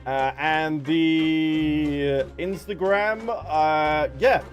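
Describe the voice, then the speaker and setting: A man's voice: on the high side, very slow, muffled recording, faint music behind. A man livestreaming a game, chatting casually and playfully as he reads and answers viewers. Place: behind: game music.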